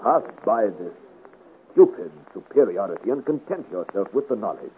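A man's voice: lowish voice, big pitch swings, moderate pace. An actor playing a bold, frank young swordsman hero in a 1950s radio adventure serial, speaking in formal, declamatory period style. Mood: firm, admonishing. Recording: noisy, narrow-band vintage radio recording.

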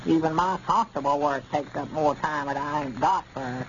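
A fussy old country sidekick played by a man speaks in a high voice with a rural Arkansas accent. He is folksy, querulous and literal-minded. The sound is a 1930s radio comedy recording, muffled and faintly scratchy. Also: moderate pace, a bit bright, lightly acted. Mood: nagging. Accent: Southern US, rural Arkansas.

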